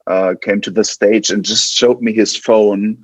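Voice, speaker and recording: A man's voice: lowish voice, steady tone, a little slow, husky, dry recording. A man from West Germany with a German accent, chatting casually as an interview guest and often repeating and restarting his words. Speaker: podcast guest, band guitarist.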